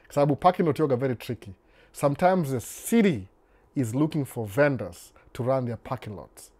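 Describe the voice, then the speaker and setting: A man talks in an easy, laid-back way on his live stream, reading out viewer comments and answering each one. His voice is mellow and warm at a middle pitch. He slides between Swahili and English without breaking stride. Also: breathy edge. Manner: relaxed, unhurried, switches between Swahili and English mid-sentence; reads viewer comments aloud and responds to them. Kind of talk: casual live-stream chat.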